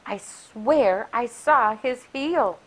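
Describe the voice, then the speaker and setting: A middle-aged woman reading a rhyming picture book aloud for children in an expressive, deliberate, sing-song way. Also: a little high, poor audio. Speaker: library story time reader. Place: small furnished room indoors. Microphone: clip-on lapel mic.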